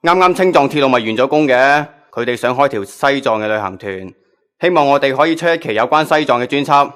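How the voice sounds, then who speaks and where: Man acting brisk magazine chief editor. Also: mid-range voice, lively intonation, a bit bright, lightly acted. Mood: businesslike. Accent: Hong Kong Cantonese. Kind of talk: Cantonese online radio drama acting.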